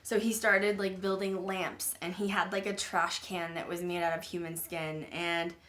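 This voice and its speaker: A woman with a somewhat low voice, talking casually to her viewers as a podcast host. She rambles and fills her talk with "like" and "um", sounding uneasy about the dark subject. She keeps clearing her throat and says she is losing her voice. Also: level tone, normal speed. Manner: rambling, filler-heavy, with many "like" and "um". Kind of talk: casual true-crime retelling to viewers.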